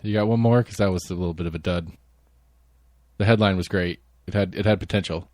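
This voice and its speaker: A man's voice: deep voice, a little fast, a little mellow, no echo, clean studio sound. A man co-hosting a podcast in casual chat, laid-back and joking, swearing freely. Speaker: podcast co-host.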